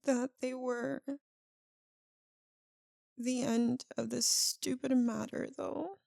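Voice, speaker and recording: A woman playing an anguished, tender, guilt-ridden vampire lover in an ASMR roleplay, speaking in a halting, intimate, pleading way. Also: sits high, flat delivery, vocal fry, a little mellow, no room sound, clean studio sound, exaggerated voice. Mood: hesitant, sorrowful.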